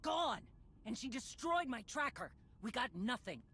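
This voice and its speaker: An actress playing a blunt, commanding female bounty hunter in a video game, impatient and vengeful, with a husky voice. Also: on the high side, sing-song, measured pace, a bit bright, exaggerated voice. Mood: furious. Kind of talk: video game cutscene acting.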